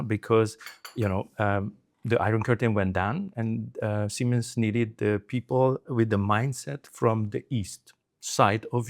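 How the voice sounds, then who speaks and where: A middle-aged man with a Hungarian accent, speaking conversationally as an interview guest. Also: on the low side. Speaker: interview guest, former telecom executive.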